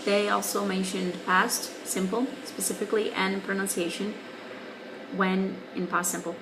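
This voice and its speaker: A young Brazilian woman in her twenties, speaking English with a Brazilian Portuguese accent and a middling voice. She explains things patiently, with a candid, wry edge, like a teacher chatting to a viewer. A steady hiss of background noise sits under her voice. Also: mid-range voice. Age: 20s. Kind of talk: casual video reply to a viewer.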